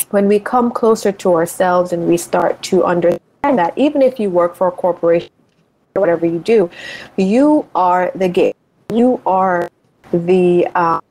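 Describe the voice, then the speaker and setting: Earnest motivational woman, lowish voice. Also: darkish tone, low-quality recording. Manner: earnest, motivational, thinks aloud with restarts. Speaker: guest, life and money coach. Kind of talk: reflective interview talk.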